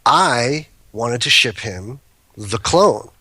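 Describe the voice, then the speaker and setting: Man guest, bright, forward voice, dry close studio sound, rambling storyteller who stops and restarts. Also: mid-range voice, animated, slow pace, husky, no room sound. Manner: rambling, self-interrupting anecdotes. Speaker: guest, cannabis breeder. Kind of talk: casual storytelling chat.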